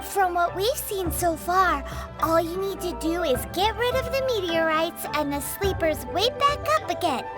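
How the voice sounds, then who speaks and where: Tiny chirpy kid-like game sidekick, super high voice, nosy and blunt, music behind. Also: female voice, animated, unhurried, hardly any pauses. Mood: helpful. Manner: refers to self in third person, exclaiming. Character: small childlike floating companion, chirpy, blunt and inquisitive. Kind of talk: video game voice acting.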